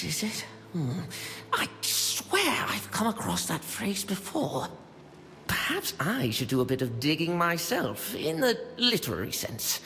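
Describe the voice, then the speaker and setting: An actor playing a bookish apprentice librarian in a video game, fussy, nervous and awkward, prone to stammering and correcting himself. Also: male voice, fairly high pitch, pushed and bright, full character voice. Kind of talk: video game character dialogue. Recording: long reverb, faint background noise.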